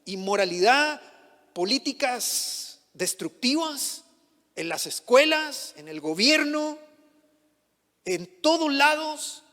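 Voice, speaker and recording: High-voiced pastor preaching in a hall. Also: male voice, very animated, slow, sharp tone. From Chile. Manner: passionate preaching, rising on questions and dropping low for emphasis. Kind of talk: sermon. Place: church.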